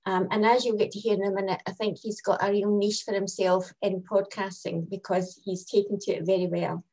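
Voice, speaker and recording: A woman curator introduces the next part of an online launch event. Her voice sits at a middle pitch. She is hesitant, often restarting her sentences as she reads through her notes. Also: flat delivery, a little fast, darkish tone, rough recording. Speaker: exhibition curator.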